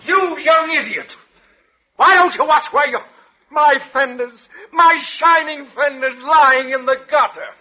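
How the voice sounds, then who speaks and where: An actor playing a blustering, ill-tempered middle-aged school principal with a pompous, barking American delivery in old-time radio comedy. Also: male voice, very high-pitched, unhurried, sharp tone, a little hiss or hum, heavily acted. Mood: outraged. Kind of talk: 1940s-50s American radio comedy acting. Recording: dull vintage radio recording.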